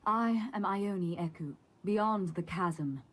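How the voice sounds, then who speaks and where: A woman voicing a composed, guarded guide in a fantasy game, measured and reflective, with a husky, breathy voice. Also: mid-range voice. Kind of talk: scripted game voice acting.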